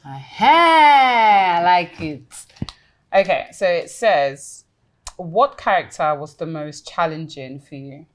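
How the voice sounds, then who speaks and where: A woman's voice: fairly low pitch, sing-song, slow pace, slight background noise. A woman hosting an interview, upbeat and affirming, running playful question rounds. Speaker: host. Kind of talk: game-show style interview hosting.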